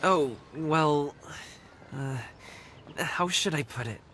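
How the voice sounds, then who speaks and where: A woman's voice: sits low, slow, a bit bright, slight background noise. A woman playing a chatty, easygoing young guide in a video game, with a breezy, folksy way of talking. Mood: uneasy. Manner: breezy, talkative, folksy casual phrasing. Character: chatty, easygoing young local guide, awkward around family. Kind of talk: video game character dialogue.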